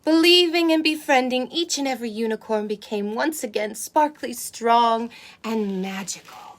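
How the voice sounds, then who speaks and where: A woman's voice: fairly high pitch, normal speed, close to an everyday voice. A woman playing a sweet, wide-eyed unicorn who hosts story time for children, with cooing wonder and exaggerated emphasis. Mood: storytelling wonder. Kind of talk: animated picture-book reading for children.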